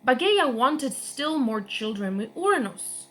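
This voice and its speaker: Young woman reading a kids' story out loud, slow and very expressive, bit high voice. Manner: slow, expressive read-aloud with lively pitch. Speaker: guest reader.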